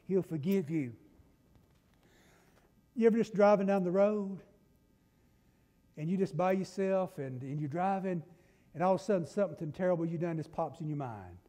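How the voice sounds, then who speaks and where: A middle-aged man with a Southern US accent, preaching a folksy sermon from a church pulpit, swinging between loud and hushed. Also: high voice. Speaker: pastor. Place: church sanctuary. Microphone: pulpit mic from a far camera. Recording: long church-hall reverb.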